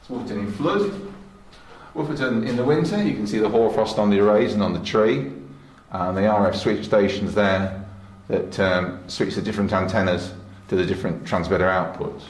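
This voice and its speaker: Male tour guide, mature, low pitch, plain explanatory delivery, echoey room with light noise. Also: moderate pace. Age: middle-aged or older. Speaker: station engineer giving a guided tour. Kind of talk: informal technical tour narration. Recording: roomy echo with faint background noise.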